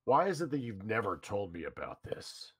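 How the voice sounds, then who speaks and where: An earnest young man playing the wounded close friend in a visual novel, acted by a man. His voice is on the low side and swings widely in pitch, with a halting, dramatic delivery full of concern. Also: very animated, background noise. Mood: hurt, earnest. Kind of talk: staged reading of a visual novel.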